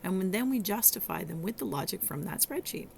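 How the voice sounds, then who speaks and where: A middle-aged woman with a hoarse, rough voice hosts a solo teaching podcast, coaching listeners in a conversational way with some halting restarts. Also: mid-range voice, lively intonation, a little mellow, a little hiss or hum. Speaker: podcast host and virtual assistant coach.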